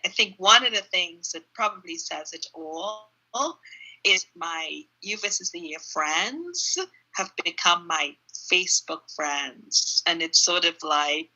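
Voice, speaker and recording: Middle-aged woman, guest on a phone call; sharp, bright voice, thoughtful and searching for words. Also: lowish voice, slow. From Trinidad. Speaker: interview guest, runner. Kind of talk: casual reflective interview answers. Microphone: phone held in hand on a video call.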